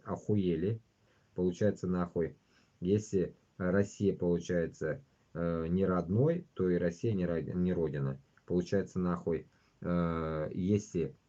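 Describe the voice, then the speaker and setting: Deep-voiced man, mellow and dull-sounding. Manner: blunt, heavily swearing. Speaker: livestream host. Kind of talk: casual livestream chat with read-out news. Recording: dull, lo-fi stream audio.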